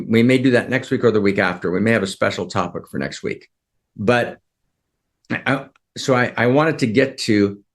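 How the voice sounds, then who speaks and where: A man's voice: on the low side, gravelly. A man giving an earnest teaching session to a group, often repeating himself and checking that his listeners are following. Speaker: spiritual teacher.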